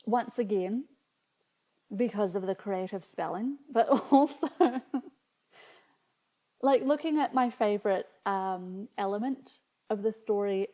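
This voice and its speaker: A woman's voice: mid-range voice, warm tone. A woman with a New Zealand accent chatting casually and reading aloud as a video host, in a hesitant, reflective, amused way, on slightly muffled audio. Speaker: video host reading her childhood stories.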